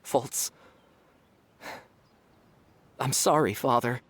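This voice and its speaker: A man's voice: mid-range voice, measured pace, fairly bright tone, slightly rough, no room sound. Remorseful earnest young man. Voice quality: earnest, apologetic. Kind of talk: game voice acting.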